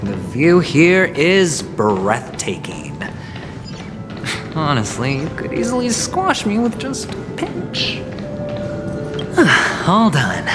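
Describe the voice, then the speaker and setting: A man voicing a smooth, scheming young male gambler in a game, playful and calculating. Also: fairly high pitch, huge pitch swings, slow, long pauses, full character voice. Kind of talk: game voice acting. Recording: game audio with music behind.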